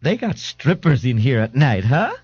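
A man's voice: medium pitch, very animated, moderate pace, darkish tone, slightly rough, no echo, highs cut off, pitched above the performer's own voice. A man voicing a nagging, folksy older mother in an audiobook. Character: brassy working-class New Orleans mother, older woman, nagging.

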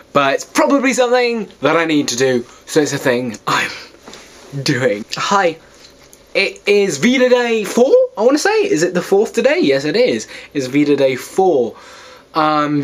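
Slightly high-voiced teenage lad from Wales. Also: male voice, fairly bright tone. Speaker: vlogger. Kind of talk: casual rambling vlog to camera. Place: small bedroom, indoors.

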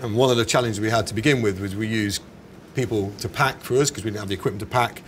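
A man's voice: lowish voice, quick pace. A man in his forties with an English accent, chatting casually and correcting himself as he answers as an interviewed business founder. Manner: hesitant, chatty, self-correcting. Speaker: snack company founder being interviewed. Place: indoors in a bar. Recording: clear background ambience noise.

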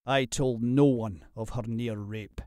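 A man's voice: mid-range voice, steady tone, slow, warm tone, clean tone, no room sound, clean studio sound, natural voice. A man voicing a bold, protective thief with a controlled, menacing delivery in an audiobook. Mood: tense suspicion. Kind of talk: audiobook character acting.

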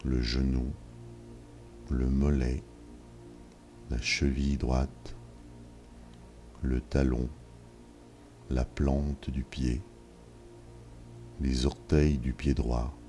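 Man, very deep voice, mellow, guided relaxation, soft music underneath. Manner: calm, measured instructions with long pauses. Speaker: yoga nidra guide. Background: soft ambient music.

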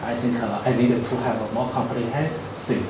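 A man, a philosophy professor, introducing himself to a class with careful, halting phrasing. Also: medium pitch, fairly even intonation, background noise. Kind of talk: self-introduction. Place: large classroom. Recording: echoey hall from far off.

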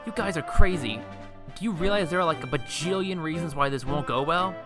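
A man voicing melodramatic, excitable teenage slacker boys in an amateur animated comedy. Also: fairly high pitch, a little fast, over music, lightly acted. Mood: exasperated. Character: excitable fourteen-year-old cartoon slacker boys and their friend.

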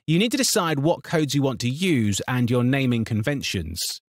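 A man narrating a scripted software tutorial in an instructional, step-by-step manner. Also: mid-range voice, lively intonation, moderate pace, no room sound, clean studio sound.

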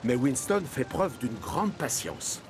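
A man's voice: mid-range voice, animated, slow. A man narrating a scripted children's story. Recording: music behind the narration.

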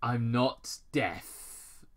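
A man's voice: mid-range voice, extremely slow. A man voicing a frustrated, stern army major in a fan fiction reading, curt and flustered.

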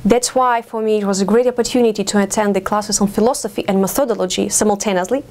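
Young woman, Ukrainian accent, doctoral student, interview answers, thoughtful, pauses and fillers, middle pitch, lapel mic. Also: a little mellow. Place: studio with campus backdrop.

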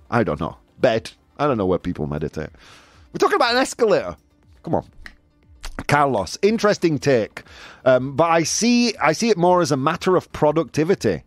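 A man's voice: fairly high pitch, dry recording. A man hosting a casual live talk show, jokey and incredulous as he reacts to listener comments. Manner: animated, jokey, incredulous, punctuates talk with "dude".

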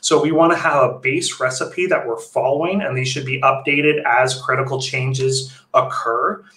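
Man, thirties, informal webinar lecture, crisp bright voice, roomy webcam sound. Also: medium pitch, fairly even intonation, normal speed. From Canada. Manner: informal, with fillers and restarts. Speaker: brewery consultant presenting a webinar. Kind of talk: slide presentation lecture. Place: home room, indoors. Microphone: webcam video call. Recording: echoey room on a webcam mic.

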